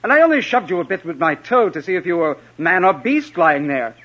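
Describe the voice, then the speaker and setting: Husky, gallant outlaw hero. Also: male voice, high voice, big pitch swings, fairly quick, a bit bright, exaggerated voice. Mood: challenging. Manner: courtly, bold, assured. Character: gallant, confident young male outlaw hero. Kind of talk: old radio drama acting. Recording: muffled vintage radio recording.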